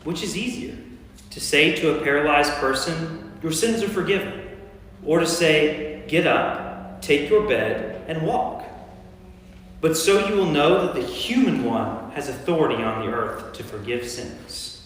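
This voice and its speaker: Male actor as Jesus, authoritative and earnest, lighter-pitched, emphatic. Staged church reading, distant and very reverberant. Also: animated, unhurried, fairly bright tone, slight background noise. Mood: firm, challenging. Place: large church interior. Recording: very echoey church, distant mic.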